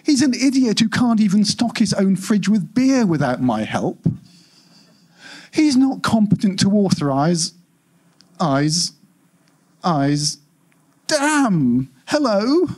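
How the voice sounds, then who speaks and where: A man as a fretful household AI, exasperated, self-important, indignant, emphatic, husky, swooping pitch, live story reading. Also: very animated, slow pace, pauses often, darkish tone, heavily acted. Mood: panicked.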